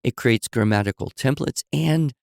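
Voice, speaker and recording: A man co-hosting a podcast, telling stories in a relaxed conversational way and explaining with examples and asides. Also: mid-range voice, normal speed, gravelly, no room sound, clean studio sound. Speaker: podcast co-host. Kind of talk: conversational storytelling.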